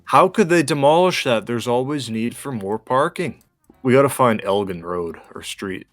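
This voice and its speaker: A man's voice: mid-range voice, animated, moderate pace, no echo. A man co-playing on a gaming show, giving casual commentary in wordy, explanatory phrasing. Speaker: guest co-player on a gaming show.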